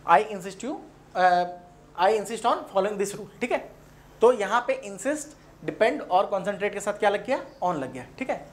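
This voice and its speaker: A man's voice: high-pitched, lively intonation, unhurried. Indian male grammar teacher, noisy recording. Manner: explanatory, repeats key points and examples. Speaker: English grammar teacher at an exam coaching class. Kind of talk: informal classroom lecture with example sentences.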